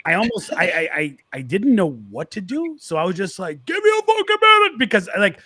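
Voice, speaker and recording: A man's voice: sits high, wildly swooping pitch, brisk, pushed and bright, no room sound, clean studio sound. A man from Boston with a Boston accent, a guest telling stories in casual chat, stammering and repeating words as he goes.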